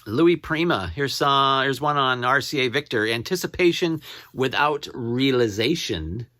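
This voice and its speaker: Slow talking guy doing a casual show-and-tell, halting, repeats himself, pretty dry close sound. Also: mid-range voice, animated, bright, forceful tone, dry recording. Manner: rambling, halting, repeats titles as he reads them out. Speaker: record collector showing his finds.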